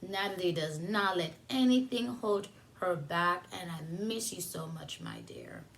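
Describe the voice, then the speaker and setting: A woman preschool teacher reading a picture book aloud to young children, pausing for simple explanations and questions addressed to them. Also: lowish voice, big pitch swings, creaky, a little hiss or hum.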